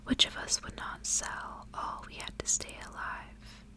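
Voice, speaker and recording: A woman's voice: a little slow, close to an everyday voice. Woman whispering as a brave young daughter. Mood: quiet resolve. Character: brave, self-possessed young daughter of a merchant.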